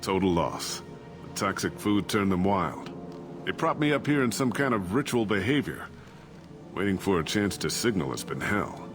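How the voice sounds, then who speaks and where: A man's voice: low voice, lively intonation, moderate pace. A middle-aged man playing a disgraced, evasive ship captain and father in a video game, talking defensively and justifying himself. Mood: gruff, impatient. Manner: defensive, rationalizing. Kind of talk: dramatic video game voice acting. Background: cinematic background music.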